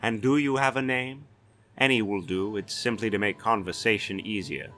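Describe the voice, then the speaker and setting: A man's voice: fairly low pitch, fairly even intonation, normal speed, bright, forceful tone, soft background music. A man voicing a blustering, sardonic, authoritative castellan in a fantasy audiobook. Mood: sardonic, stern. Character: blustering, weary-wry male castellan of a fantasy city.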